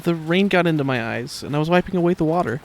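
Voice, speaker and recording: A man's voice: fairly high pitch, lively intonation, quick pace, a little hiss or hum, pitched above the performer's own voice. A man voicing a shy, sincere young man in love for a fan fiction story, sheepish and tender in his delivery.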